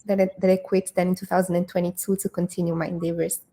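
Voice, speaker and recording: Woman in her twenties raised in Italy, relaxed warm voice, upbeat interview guest. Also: fairly low pitch, quick pace. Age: 20s. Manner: fluent, upbeat, laughs between thoughts. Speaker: guest, sales manager and personal branding founder. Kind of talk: casual online interview.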